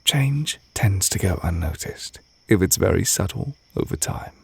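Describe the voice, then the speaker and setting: A man voicing a tender, reserved witch teacher in a romantic ASMR roleplay, speaking softly and hesitantly, close to the mic with crickets behind. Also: deep voice, animated, gravelly, breathy edge, dry recording, heavily acted. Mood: wistful, tender. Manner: soft, intimate, hesitant, gently measured. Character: tender, reserved male witch teacher confessing love to partner. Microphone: close microphone.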